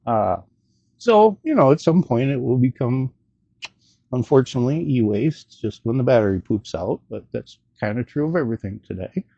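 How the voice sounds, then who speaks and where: Husky guy doing a laid-back gadget demo, kinda rambly and unsure, voice goes up and down a lot, bit lo-fi. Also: mid-range voice, lively intonation, normal speed, dark tone, dry recording. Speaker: host of a gadget review video. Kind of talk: casual unscripted product demo and review.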